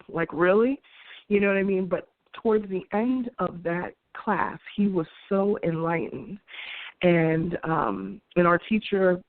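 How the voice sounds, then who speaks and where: A woman's voice: lowish voice, no room sound. Earnest woman in her forties, tinny sound. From New York. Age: 40s. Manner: earnest, conversational, with frequent restarts and light laughs. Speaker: host. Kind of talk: opinion monologue. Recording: tinny phone-like dry sound.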